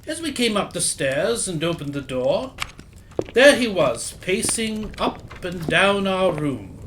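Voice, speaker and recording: A man playing a genial, old-fashioned gentleman doctor who recounts old cases in an audio drama. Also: high voice, sing-song, slow pace, background noise, full character voice. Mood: reminiscing. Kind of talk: audio theatre acting, storytelling.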